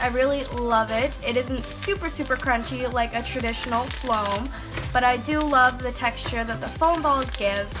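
A young woman getting over a cold, hosting a slime-making tutorial in a bubbly, enthusiastic voice-over, explaining each step. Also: high-pitched. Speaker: slime-making channel host. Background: background music.

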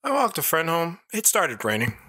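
A woman playing a timid, naive young schoolboy with a husky voice in an audio drama. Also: low voice, wildly swooping pitch, normal speed, bright, forceful tone, no echo, heavily acted. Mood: nervous.

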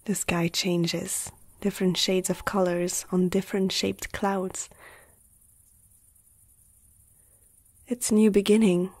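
Gentle female vampire, played by a woman, ASMR roleplay. Hushed, husky, unhurried, soothing, medium pitch. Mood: tender, wistful. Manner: soft-spoken, often whispering, slow and soothing. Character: gentle, protective female vampire comforting a human.

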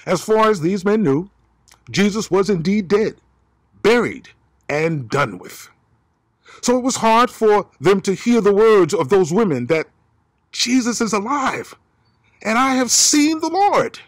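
Lively-voiced preacher, man in his fifties. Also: high voice, no echo. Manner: dramatic preaching with varied emphasis and slow stresses. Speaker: pastor. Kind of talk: outdoor sermon. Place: outdoors by pine trees. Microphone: phone or camera at arm's length.

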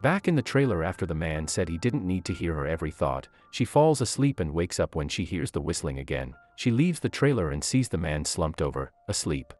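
A man reads a scripted movie recap, telling the plot in a steady storytelling way. His voice sits very low but moves up and down a lot as he goes. Soft music plays faintly underneath. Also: fairly quick, few pauses. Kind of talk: scripted narration.

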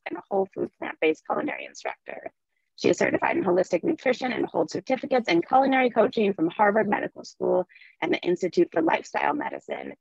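A young woman with a low voice reads a prepared introduction in a steady, scripted way. Her audio is dry and muffled, like a typical video call connection. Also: no echo. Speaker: nonprofit media and outreach manager. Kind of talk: reading an event introduction. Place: indoor room, video call.

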